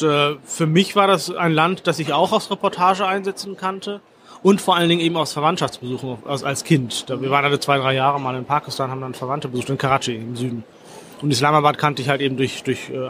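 A man in his forties, a foreign correspondent, answering interview questions in a measured, thoughtful way with frequent fillers and restarts. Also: a little high, fairly bright tone, slight background noise. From Germany.

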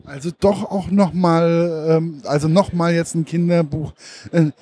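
A man's voice: a little high, steady tone, unhurried, mellow, breathy edge. A man interviewing a guest at a book fair, asking hesitant questions with many fillers and repeated words.

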